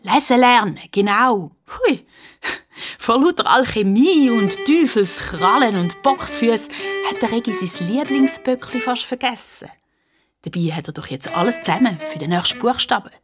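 A woman with a Swiss German accent narrating a scripted children's story in an expressive, playful way. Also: on the high side, moderate pace, music in the background.

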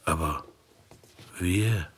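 An actor playing a brooding, weary would-be western avenger, muttering tersely. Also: male voice, deep voice, extremely slow, a bit bright, slightly rough, exaggerated voice.

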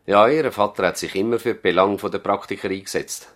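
An actor playing a courteous older country doctor in a radio play, speaking formally with a Swiss German accent. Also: male voice, lowish voice, fairly quick, a bit bright, lightly acted. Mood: collegial, reminiscing. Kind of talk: 1970s radio drama dialogue.

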